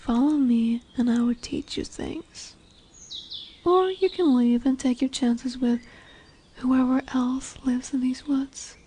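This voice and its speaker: A woman voicing a dominant lamia in a roleplay audio, curious and condescending yet nurturing, speaking deliberately with teasing, soothing near-whispers. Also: high voice, steady tone, warm tone, husky, exaggerated voice. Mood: commanding, enticing. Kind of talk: slow roleplay audio monologue addressed to the listener. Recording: birdsong and woodland ambience behind. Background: birdsong, forest ambience.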